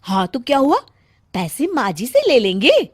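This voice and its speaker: A woman's voice: sits high, wildly swooping pitch, moderate pace, breathy edge, dry recording, studio quality, heavily acted. A woman voicing a crafty, confident elder daughter-in-law in a family comedy cartoon, coaxing and self-assured. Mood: encouraging.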